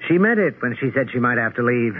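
An actor playing a skeptical, concerned friend, measured and blunt, in a 1970s radio drama. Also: male voice, mid-range voice, expressive, phone-quality sound, natural voice. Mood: grave, concerned. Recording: thin, hissy 1970s radio recording.

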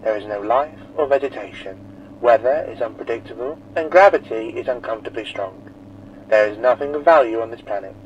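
A man's voice: mid-range voice, brisk. A man voicing a dry, formal spacecraft crewman reading out a matter-of-fact warning through a radio effect. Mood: flat official. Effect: radio transmission filter. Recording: muffled, noisy radio message.

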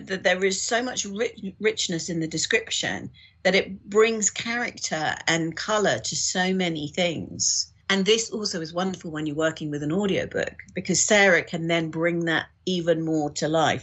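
Woman, podcast guest, deep-pitched, raspy edge, expressive, enthusiastic book talk. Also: normal speed. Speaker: audiobook producer, podcast guest. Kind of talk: conversational literary discussion.

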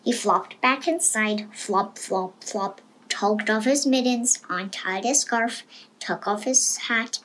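A woman's voice: medium pitch, slow, a bit bright, slight background noise, low-quality recording. A woman reading a children's storybook aloud as narrator. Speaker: storybook reader.

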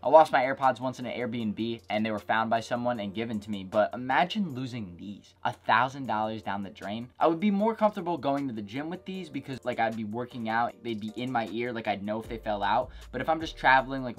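A man's voice: medium pitch, a little fast, few pauses, soft background music. A young man hosting a casual product review vlog from his bedroom couch, chatty and jokey, full of "like" and "go ahead". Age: young adult. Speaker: tech reviewer, channel host.

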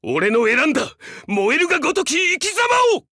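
A man's voice: extremely high pitch, big pitch swings, normal speed, pushed and bright, no echo, studio quality, exaggerated voice. A man voicing a young, fiercely loyal dog-man samurai in a Japanese game, speaking earnestly in formal, archaic warrior speech with dramatic delivery. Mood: fierce, battle fervor.

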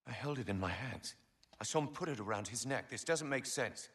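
A man's voice: medium pitch, expressive, fairly quick, a bit bright. An actor playing a baffled, defensive male henchman in a video game scene, insisting on his point in bewilderment. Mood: bewildered. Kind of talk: video game cutscene acting.

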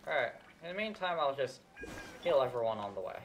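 A young man giving casual, low-key commentary as he streams a video game. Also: very animated, a little fast, a bit bright. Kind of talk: casual live gameplay commentary. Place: indoor room. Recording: noisy livestream with game audio.

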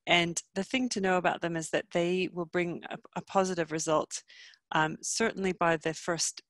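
A woman's voice: fairly low pitch, level tone, moderate pace, no echo, studio quality. A woman in her thirties, from Canada with a Canadian accent, giving a medical webinar from home over a video call, friendly and full of ums. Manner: friendly, many ums, self-deprecating. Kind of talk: medical lecture. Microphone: headset.

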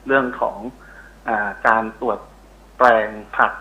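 A man's voice: mid-range voice, fairly even intonation, unhurried, muffled recording. A middle-aged man explaining as an expert guest in a phone interview, often searching for words. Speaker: interview guest, university researcher. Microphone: telephone call.

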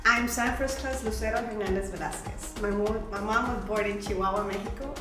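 A woman's voice: mid-range voice, fairly even intonation, a little fast. A young woman from California, a soldier, introduces herself in an echoey recording with music behind. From San Diego, California. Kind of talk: brief self-introduction. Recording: echoey room, distant mic, music behind.

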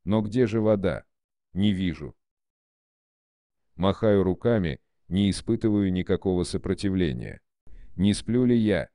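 A man's voice: low voice, unhurried, pauses often, dark tone, no room sound, clean studio sound. A man reading narrative prose aloud in short first-person sentences.

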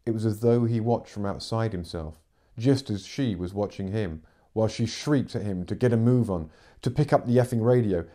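A man's voice: lowish voice, dark tone. A middle-aged man reading fiction aloud as a narrator, in dramatic audiobook style. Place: living room, seated in armchair.

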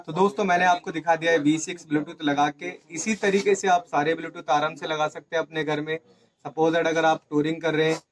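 Higher-voiced man explaining step by step. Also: level tone, moderate pace. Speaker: presenter of a motorcycle gear channel. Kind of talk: hands-on tutorial explanation. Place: small indoor office or shop room. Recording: faint background noise with clicks.

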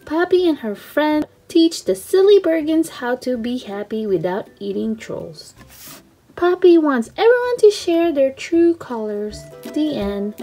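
A woman reading a children's storybook aloud in an expressive, simple storytelling manner for young children. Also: sits high, measured pace, soft background music. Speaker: read-aloud channel host.

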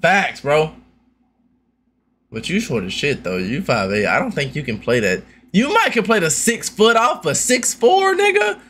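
A man's voice: a little high, huge pitch swings, a little slow, a bit bright, slight background noise. A young man streaming a reaction on a headset, brash and profane, full of loose slang. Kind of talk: reaction commentary.

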